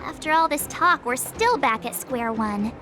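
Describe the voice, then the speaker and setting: An actress playing a perky, upbeat young woman adventurer in a video game, chatty and expressive. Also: high-pitched, measured pace, music in the background. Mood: puzzled, musing. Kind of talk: animated video game dialogue.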